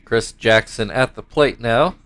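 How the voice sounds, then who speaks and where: A young man with a stuffed-up nose talks casually over a baseball video game. His middle-pitched voice slips between rambling, self-correcting asides and mock play-by-play calls. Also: measured pace, fairly bright tone. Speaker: gaming YouTuber commentating his own baseball game league.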